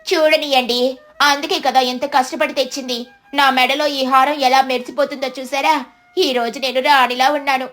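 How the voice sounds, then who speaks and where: A woman's voice: sits high, steady tone, brisk, fairly bright tone, soft background music. A woman voicing an eager, vain village wife in a dramatic storybook tale. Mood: boastful.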